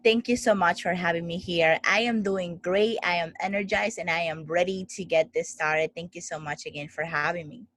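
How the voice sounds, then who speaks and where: A woman's voice: on the low side, steady tone, normal speed, few pauses, a bit bright, no room sound. A young woman from the Dominican Republic, an interview guest telling her life story in an earnest, grateful way. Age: young adult. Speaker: guest, student founder.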